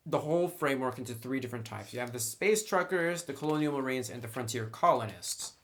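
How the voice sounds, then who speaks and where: Man reviewing with emphatic, drawn-out stresses. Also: on the high side. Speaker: tabletop game reviewer. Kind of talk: review commentary, conversational explainer.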